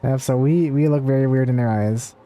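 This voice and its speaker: A man's voice: medium pitch, brisk, dark tone. A man streaming a video game, chatting casually with viewers as he comments on what he plays. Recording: game music behind the voice. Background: video game music.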